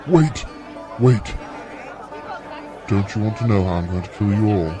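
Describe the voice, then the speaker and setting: A low-voiced, taunting villain acted by a man in an audio drama, a condemned tyrant who relishes his own evil. His menacing delivery sits amid loud music and a noisy crowd. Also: expressive, unhurried. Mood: mocking menace. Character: evil, mocking condemned male tyrant, gleefully murderous.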